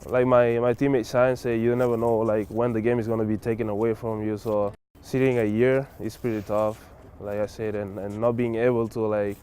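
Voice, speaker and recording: A man's voice: lowish voice, normal speed, hardly any pauses, slight background noise. A young man from Spain, a college basketball player, answering interview questions casually and filling his talk with "like" and "whatever". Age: young adult. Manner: relaxed, many fillers like "like" and "whatever".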